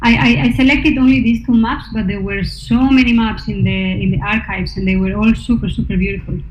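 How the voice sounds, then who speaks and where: A woman's voice: medium pitch, steady tone, fairly quick. A woman artist presenting her research to slides, hesitant, with many fillers and restarts. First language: not Norwegian. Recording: echoey room, dull sound, faint noise.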